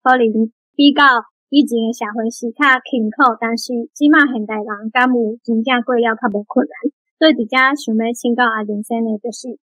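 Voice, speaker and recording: A woman with a Taiwanese accent putting a careful question as a guest, in halting, measured phrases. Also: on the high side, steady tone, fairly bright tone, dry recording, poor audio. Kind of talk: careful question to an author, with pauses.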